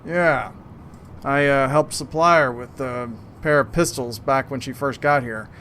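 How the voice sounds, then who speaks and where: A man voicing an older shopkeeper in a tabletop game, speaking in a measured, hesitant way over background noise. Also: mid-range voice, bright, forceful tone. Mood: guarded, matter-of-fact. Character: older male shopkeeper, a digital program dealing in discs.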